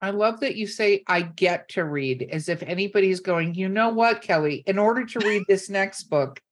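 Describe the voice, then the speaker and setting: A woman's voice: mid-range voice, moderate pace. Teasing woman hosting a casual panel. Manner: teasing, informal. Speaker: host of an author panel. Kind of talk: casual, bantering panel moderation.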